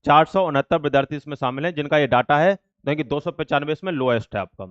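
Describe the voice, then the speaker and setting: A man's voice: a little high, fast talker, clear, resonant tone, no echo, dull audio. A man explaining exam updates to students as a presenter, in an informal, repetitive way, reading out notices and figures. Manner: repetitive, filler-heavy explaining that addresses students directly. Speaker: exam-update presenter for an online coaching channel.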